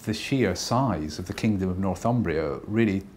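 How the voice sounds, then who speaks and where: A man from Scotland who is a painter, talking thoughtfully and unhurriedly about his work, with pauses and fillers. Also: sits low, lively intonation, darkish tone, slight background noise.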